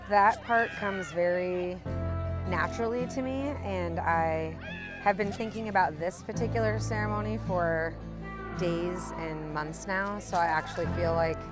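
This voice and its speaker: A woman chef talking reflectively in a documentary interview, earnest and open, with frequent fillers. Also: medium pitch. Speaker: chef and motorcycle rider. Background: music.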